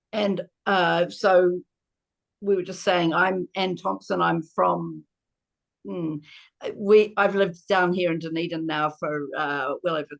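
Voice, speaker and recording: An older woman gives an informal presentation over a video call, halting and often correcting herself. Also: lowish voice, creaky. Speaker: co-housing resident presenting.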